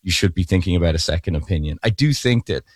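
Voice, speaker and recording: A man's voice: deep voice, animated, quick pace, breathy edge, no echo, studio quality. A man co-hosting a financial radio talk show, talking casually with frequent restarts. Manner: rambling with restarts.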